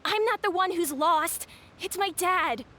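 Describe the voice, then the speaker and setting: A woman's voice: very high-pitched, measured pace, sharp tone, a little hiss or hum, full character voice. Woman doing a worried young boy. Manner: earnest, worried. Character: young boy searching for his lost father. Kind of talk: game voice acting.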